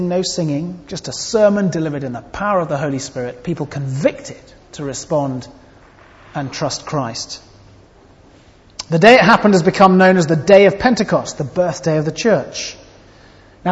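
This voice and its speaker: A man preaching a sermon, earnest and expressive, with shifting emphasis as he reads and explains scripture. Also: fairly high pitch. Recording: dull sound with long hall reverb.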